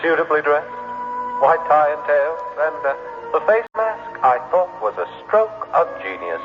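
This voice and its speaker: A man's voice: a little high, expressive, unhurried, over music. A man acting in an old-time radio drama, playing the suave, sinister ghost of a dead twin, taunting through reverb. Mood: sly. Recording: reverberant, dull vintage radio recording.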